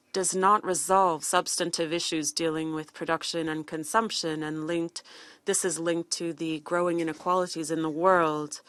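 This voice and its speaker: Low-voiced woman interpreting live. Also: a bit bright, dry recording. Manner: halting, phrase-by-phrase delivery with false starts. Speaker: simultaneous interpreter. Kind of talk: live interpretation of a formal speech.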